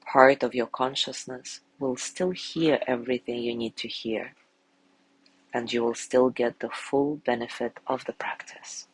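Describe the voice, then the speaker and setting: A man guiding a sleep meditation in a gentle, soothing way, softly spoken and unhurried, with long pauses between phrases. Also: mid-range voice, fairly even intonation, husky, low-quality recording. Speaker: meditation guide.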